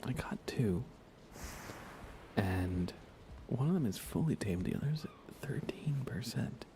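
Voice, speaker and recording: A soft-spoken man chatting casually on an ASMR gaming livestream, rambling unhurriedly and often slipping toward a whisper. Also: mid-range voice, expressive, measured pace, slight background noise. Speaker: livestreamer playing a video game.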